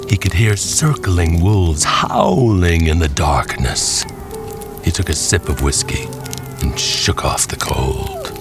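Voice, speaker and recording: A man narrating a scripted dramatic tale in a folksy, deliberate storyteller's manner, with music behind him. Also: sits low.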